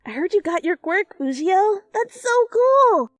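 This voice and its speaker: A woman voicing a sweet, bubbly young woman from anime in a dramatic fan fiction reading, gentle and cooing. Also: very high-pitched, sing-song, measured pace, no echo, faint music behind, voice pushed up, exaggerated voice. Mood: tender, cooing.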